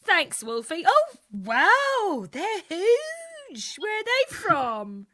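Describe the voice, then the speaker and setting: Actress as friendly village barmaid, very high lively voice, unhurried, cheery, gently sceptical, radio comedy. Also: female voice, heavily acted. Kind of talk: comedy radio play read online.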